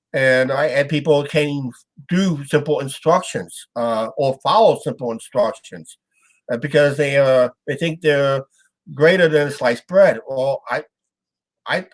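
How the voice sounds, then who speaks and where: A man from Iowa hosting a casual live-stream chat, with a stuffed-up nose. He rambles and halts, trailing off with "and that". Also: fairly high pitch. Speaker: show host.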